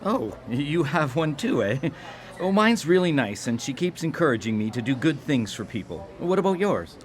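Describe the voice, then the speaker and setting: A man's voice: medium pitch, sing-song, normal speed, soft background music, close to an everyday voice. An actor in a radio drama playing a shy, earnest man of faith, hesitant and awkward on a first date. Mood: nervous, polite.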